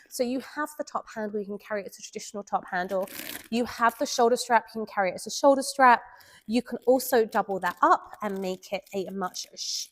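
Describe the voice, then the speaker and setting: A woman with a head cold, sounding nasal, chatting enthusiastically through a handbag review. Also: mid-range voice. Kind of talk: casual product review vlog.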